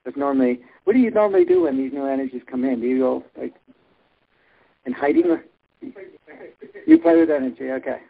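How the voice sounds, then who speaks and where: A man hosting a spiritual workshop in a relaxed, rambling way, pausing often on fillers, heard over a phone-line webinar. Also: mid-range voice, creaky, slightly rough. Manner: rambling, frequent filler pauses. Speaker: workshop host.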